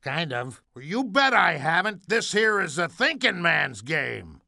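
A doddering old superhero in a game, creaky high voice, slow rambling talk with sudden heroic yells. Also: male voice, very animated, dry recording, clean studio sound, exaggerated voice. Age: older. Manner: rambling and absent-minded, bursting into heroic shouts. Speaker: voice actor. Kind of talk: video game voice clips.

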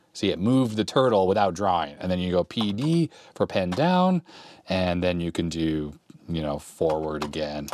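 A man's voice: fairly low pitch, slight background noise. A man in his forties casually thinking aloud as he tests an old computer in a cluttered workshop, filmed up close. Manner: relaxed, thinking aloud while typing. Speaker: retro computer repair YouTuber. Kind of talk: casual hands-on demo talk. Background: keyboard clicks and typing.

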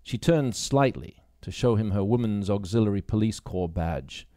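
A man narrates a story in a mellow, relaxed voice. He reads as an actor telling a tale, recorded close and dry with almost no room sound. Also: fairly low pitch, normal speed, no echo. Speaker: audiobook reader, local actor.

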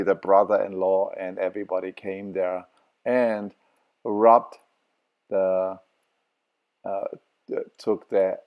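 Slow, deep-voiced man with halting speech. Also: lo-fi sound. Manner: halting, many fillers and restarts. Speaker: travel vlogger. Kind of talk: walking tour narration.

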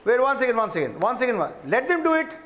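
Indian man, minister guest, defensive, repeats himself, high voice. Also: big pitch swings, fast, fairly bright tone. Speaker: central minister, debate guest. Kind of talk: heated TV debate.

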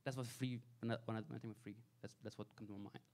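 Pastor preaching with energy. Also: male voice, on the low side, fairly even intonation, rapid-fire. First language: Farsi. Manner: preaching with wide swings between loud emphasis and soft asides. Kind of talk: sermon. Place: small church sanctuary. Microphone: handheld mic.

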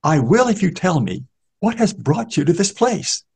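A man voicing a calm male traveler who asks polite, persistent questions. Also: sits high, moderate pace, gravelly, slightly rough, voice pushed up, full character voice. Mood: questioning.

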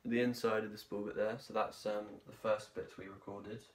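A young man giving a casual hands-on tutorial, talking haltingly as he works with his hands. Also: fairly low pitch, level tone. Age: young adult. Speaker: tutorial presenter. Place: small home bedroom at a desk, indoors. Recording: noisy room echo with clicks.

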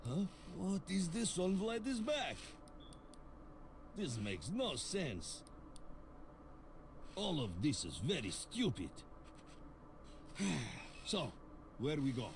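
This is a grumpy little monster companion, rasping and fried at the edges. He drags his words out slowly and sneers at everyone, calling himself by name. The game audio behind him carries a clear wash of noise. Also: mid-range voice, very animated, full character voice. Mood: scornful. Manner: insulting, scornful, speaks of himself in third person. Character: grumpy rude male creature companion.

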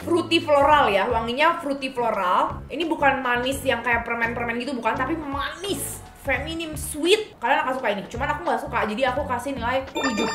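A young woman with a Jakarta accent speaks quickly in a fairly high voice. She is expressive and slangy, thinking aloud as she reviews products to camera. The room adds noticeable echo, and music plays behind her. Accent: Indonesian, Jakarta. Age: young adult. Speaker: beauty vlogger reviewing perfumes. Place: indoor room, seated at a table.

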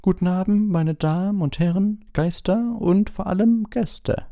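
A man voicing an elderly, genial wizard headmaster giving formal, measured addresses in a storybook reading. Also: sits high, mellow, no echo, clean studio sound, voice pushed up. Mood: beaming, welcoming. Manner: ceremonious, measured public address.

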